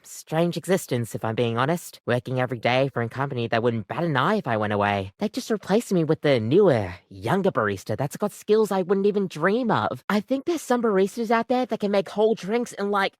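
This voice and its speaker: A woman's voice: deep voice, animated, brisk, few pauses, no echo, clean studio sound. A woman voicing an overworked, apologetic barista in a video game, chatty, rambling and self-deprecating, with a weary devotion to the job. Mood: weary, earnest. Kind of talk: video game character acting, conversational.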